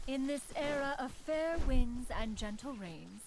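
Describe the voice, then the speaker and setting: An actress voicing a dignified ancient crane spirit in woman form, speaking formally and calmly. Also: sits high, unhurried, noisy background. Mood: stately. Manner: formal, stately, refers to herself as one. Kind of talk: game voice lines. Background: game music.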